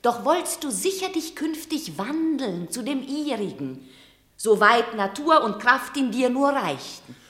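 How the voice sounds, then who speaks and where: A woman's voice: on the high side, sing-song, unhurried, a bit bright, roomy sound. A shrewd commanding mother. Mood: urging. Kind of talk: radio drama acting.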